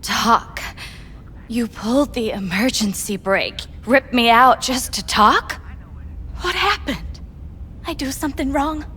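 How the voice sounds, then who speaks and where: A woman's voice: on the high side, slow, sharp tone, in a large hall, a little hiss or hum. A woman playing a wary, indignant club worker in a video game, curt and evasive.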